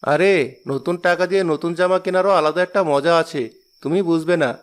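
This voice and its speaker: A man voicing a poor, kind-hearted father who works as a cart pusher in a cartoon, talking in a humble, soft-spoken way. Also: on the high side, fairly quick, clear, resonant tone, close to an everyday voice.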